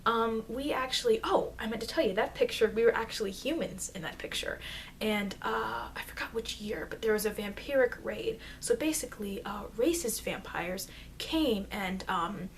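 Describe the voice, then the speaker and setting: A woman playing a chatty, informal immortal vampire teacher in an ASMR roleplay, rambling hesitantly and confidingly, with soft dips into whispering. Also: fairly high pitch, moderate pace, close to an everyday voice. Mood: chatty, earnest. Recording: noisy amateur microphone.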